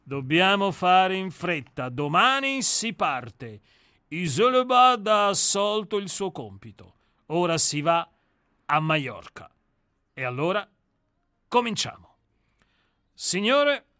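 A man's voice: on the high side, bright, forceful tone, smooth, no room sound, studio quality, exaggerated voice. A man reading a radio play aloud, voicing a cynical, menacing novelist with a grandiloquent, mocking manner. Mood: brisk. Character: cynical, menacing male novelist, coldly theatrical. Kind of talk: dramatic reading.

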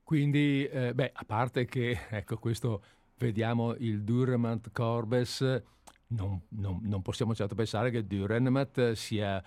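A man's voice: medium pitch, fairly even intonation, darkish tone, dry recording. A man discussing literature informally as a host, hesitant and often repeating himself as he searches for words. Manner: hesitant, halting, searching for words with frequent repetitions.

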